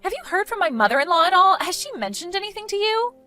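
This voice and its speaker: A woman's voice: very high-pitched, big pitch swings, fast, bright, forceful tone, soft background music, exaggerated voice. A woman voicing a catty, condescending, gossipy sister-in-law in an exaggerated, melodramatic style for a dramatized story. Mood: urgent gossip. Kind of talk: dramatized text-message story voice acting.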